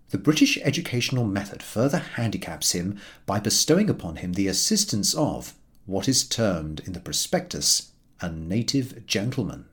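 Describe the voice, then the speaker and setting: A man narrating a comic literary story in a formal, measured and expressive storytelling manner. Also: lowish voice. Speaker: audiobook narrator.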